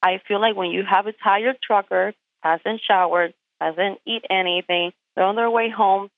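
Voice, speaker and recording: A woman's voice: medium pitch, steady tone, measured pace, no echo. A woman with a Puerto Rican accent, whose first language is Spanish, is a guest on an interview by phone. She makes her case directly and with emphasis, often asking rhetorical questions. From Puerto Rico. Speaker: truck driver, interview guest. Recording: tinny phone line.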